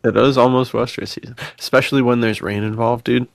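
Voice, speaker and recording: A man's voice: mid-range voice, steady tone, brisk, gravelly, dry recording, clean studio sound. An American man chatting casually as a podcast co-host, slangy and bro-ish as he tells personal stories.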